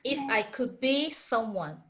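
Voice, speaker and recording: A woman's voice: a little high, very animated, measured pace, fairly bright tone. A woman taking part in a casual reading group discussion.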